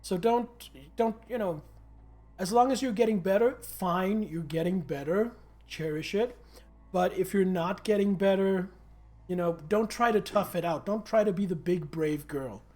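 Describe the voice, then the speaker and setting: Hoarse man streaming, blunt and earnest. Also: sits high, normal speed, a little mellow. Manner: blunt, earnest advice with casual swearing. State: hoarse, irritated throat. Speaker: game streamer. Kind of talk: casual chat while playing.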